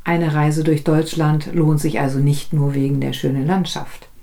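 Woman, from northern Germany, podcast co-host, deep voice, warm tone, calm chat. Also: normal speed, vocal fry. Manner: calm, reflective storytelling with small restarts.

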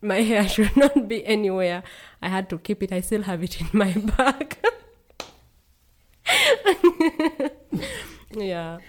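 A woman's voice: mid-range voice, big pitch swings, takes it slowly, pauses often. A young woman from Uganda, a guest in a casual conversation, speaking earnestly and laughing easily.

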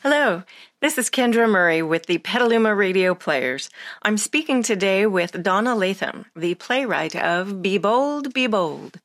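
A woman's voice: medium pitch, animated, a little slow, fairly bright tone, dry recording. Dry-recorded woman interviewer, formal. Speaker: interviewer and drama director. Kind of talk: scripted, formal interview questions.

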